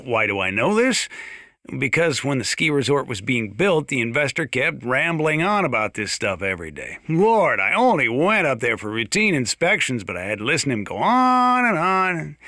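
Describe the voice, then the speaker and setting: Folksy American mountain sheriff, bright gritty edge. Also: male voice, medium pitch, no room sound, clean studio sound. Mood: chatty, wry. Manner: folksy, wry, drawling storyteller. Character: folksy, gruff, sardonic older small-town mountain sheriff. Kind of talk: in-character radio monologue for a video game.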